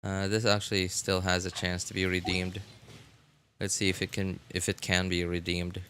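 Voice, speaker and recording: A man giving casual, halting gameplay commentary as a streamer, repeating himself as he thinks aloud. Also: sits low, completely flat delivery, a bit bright, slight background noise. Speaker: game streamer. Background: game music.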